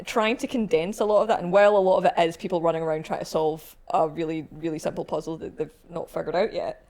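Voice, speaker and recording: A woman's voice: lowish voice, steady tone, fast, a little mellow. A woman co-hosting a podcast in casual panel chat with fellow arcade staff. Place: arcade shop, indoors.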